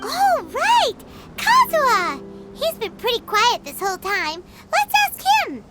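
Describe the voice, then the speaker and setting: A woman voicing a tiny, bubbly, curious fairy companion in a video game, with a squeaky, childlike, expressive delivery. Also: measured pace, exaggerated voice. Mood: excited. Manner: exclamatory, expressive, speaks as "we". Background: loud game music.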